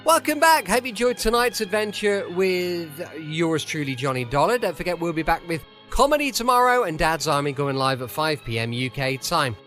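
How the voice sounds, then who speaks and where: A man's voice: on the high side, animated, normal speed, few pauses, a bit bright. A man hosting a radio show, talking in a friendly, chatty way straight to his listeners. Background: music.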